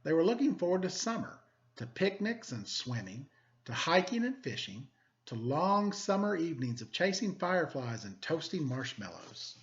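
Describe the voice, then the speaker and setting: A man's voice: a little high, very animated, normal speed, dull audio, slight background noise. A man hosting story time, reading a picture book aloud to children with playful, self-deprecating, chatty asides.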